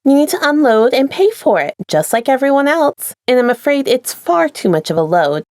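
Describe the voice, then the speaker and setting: A woman's voice: sits high, big pitch swings, normal speed, a little mellow, dry recording, clean studio sound, voice pushed up. A woman voicing a chipper, sinister female airline attendant with a sing-song, falsely sweet customer-service manner. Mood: falsely apologetic.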